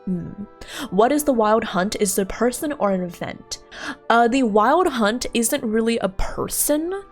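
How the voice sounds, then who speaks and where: A young woman chatting casually with her stream viewers while playing a game, laughing often and thinking out loud. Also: a little high, expressive, measured pace. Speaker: livestreamer. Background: faint game music.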